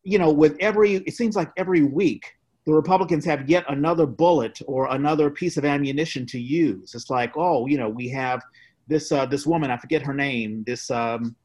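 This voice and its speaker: A man co-hosting a casual discussion of politics, giving his opinions in a halting way with repeated phrases. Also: fairly high pitch, a little mellow. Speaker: podcast co-host.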